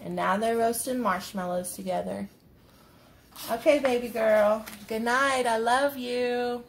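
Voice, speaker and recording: A woman in her thirties reading a picture book aloud as a mother, pausing to explain it simply to a young child. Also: fairly high pitch, slight background noise. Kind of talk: storybook read-aloud. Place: small bedroom, indoors.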